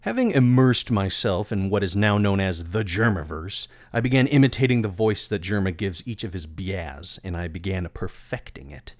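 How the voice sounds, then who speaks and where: A man with a fairly low voice narrates a scripted story in a theatrical, wordy way. The recording is close and very dry. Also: lively intonation, moderate pace, few pauses, dry recording. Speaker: video creator and voice actor.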